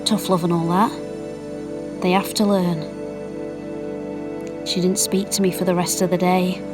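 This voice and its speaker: A woman's voice: on the low side, level tone, unhurried, lightly acted. A woman with a British accent playing a wistful, proud mother who confides her memories in an audio drama monologue. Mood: tender, regretful. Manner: confiding, colloquial storytelling. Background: music.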